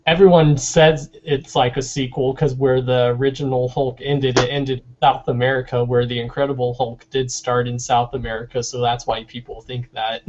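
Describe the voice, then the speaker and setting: A man's voice: medium pitch, level tone, normal speed, hardly any pauses, a little mellow. A man talking casually and informally, giving his opinions over a dull-sounding online hangout call. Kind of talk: casual chat. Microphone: online video hangout.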